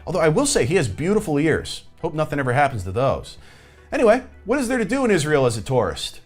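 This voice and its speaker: A man's voice: on the high side, very animated, fast talker, faint music behind. A man hosting a satirical comedy video with mock-sincere, ironic, exaggerated enthusiasm. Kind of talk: satirical sketch presenting.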